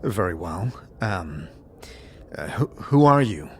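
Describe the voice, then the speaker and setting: A man in a fantasy roleplay, moving between narration and dialogue in a halting, tentative manner. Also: sits low, big pitch swings. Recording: faint background noise.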